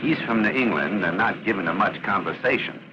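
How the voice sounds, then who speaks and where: Actor as low-voiced Texan major over heavy noise. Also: male voice, brisk, lightly acted. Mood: somber, concerned. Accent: Texas. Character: troubled Air Force pilot major recounting a crash. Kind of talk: scripted reenactment narration in 1960s training film. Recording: muffled 1960s film soundtrack, heavy engine noise.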